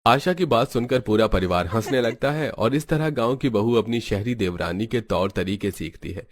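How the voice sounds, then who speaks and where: A man's voice: mid-range voice, normal speed, no room sound. A man reading scripted narration for a family cartoon story.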